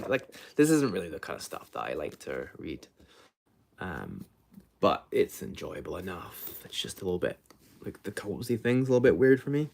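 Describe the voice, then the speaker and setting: Low-voiced man, casual halting livestream chat. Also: creaky, a little mellow. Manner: rambling, halting, self-correcting, trailing off. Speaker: book vlogger hosting a livestream.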